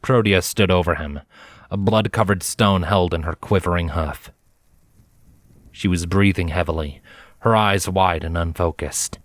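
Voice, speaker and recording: A man reading a story as dramatic scripted narration, in a measured, solemn manner. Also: sits low, fairly even intonation, no echo. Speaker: fan fiction reader, narrator. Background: background music.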